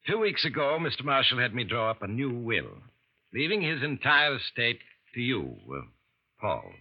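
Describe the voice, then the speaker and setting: A suspicious estate lawyer. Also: male voice, mid-range voice, very animated. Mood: formal. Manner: formal, measured, probing. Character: suspicious older male estate lawyer, formal. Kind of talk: 1940s radio drama acting. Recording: dull old radio broadcast.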